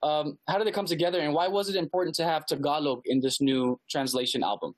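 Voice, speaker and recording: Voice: medium pitch, quick pace, poor audio. An interviewer, chatting casually and hyping up the guest with slangy praise. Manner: enthusiastic, hypes the guest, slangy.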